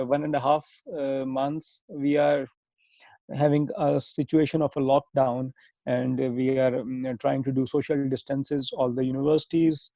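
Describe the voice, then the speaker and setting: A man in his thirties with a Pakistani accent, a podcast guest answering in a halting, self-correcting way over a remote call. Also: on the high side, vocal fry, mellow, no room sound. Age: 30s. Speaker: podcast guest, software engineer. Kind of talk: conversational interview answers. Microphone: remote internet or phone call. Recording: dull lo-fi call audio.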